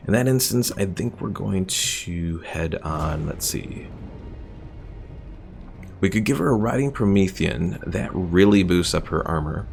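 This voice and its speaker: A man's voice: low voice, animated, measured pace, gravelly, darkish tone, a little hiss or hum. A young man casually commentating a strategy game stream, thinking aloud and explaining the mechanics as he plays. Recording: game music in background.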